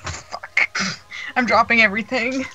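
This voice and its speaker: A woman's voice: on the high side, fairly even intonation, a little slow, sharp tone, slight background noise. A woman chatting casually and teasingly as a guest commentator on a game let's play. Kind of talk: casual chat. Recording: dull lo-fi voice call, music behind.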